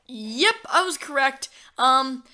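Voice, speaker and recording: A woman talking casually while playing a game, chatty and easily excited, with a sore throat that makes her voice crack. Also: high voice, slow, noisy background. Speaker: gamer. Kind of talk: casual gameplay commentary.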